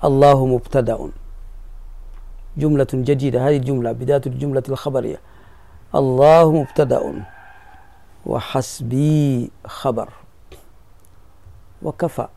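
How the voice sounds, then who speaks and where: Mellow-voiced man teaching grammar. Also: medium pitch, steady tone, unhurried, vocal fry, long pauses. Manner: patient, repeats key phrases, asks students if they follow. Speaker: sheikh teaching Arabic grammar. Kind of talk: classroom explanation of a grammar poem.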